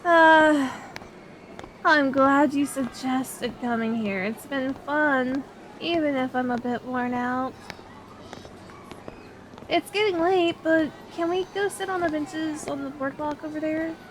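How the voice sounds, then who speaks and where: Clear park ambience surrounds a high, slow-paced female voice. A woman acts out a sweet, shy young woman in an ASMR roleplay, speaking to her best friend with expressive, hesitant affection. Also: a little hiss or hum, low-quality recording. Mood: content. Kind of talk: ASMR roleplay audio drama.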